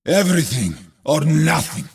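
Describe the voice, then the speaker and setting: A man voicing a grim, resolute warrior hero in a video game, raspy and gravelly, making weighty, defiant pronouncements. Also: a little high, big pitch swings, normal speed, darkish tone, full character voice. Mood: solemn, defiant.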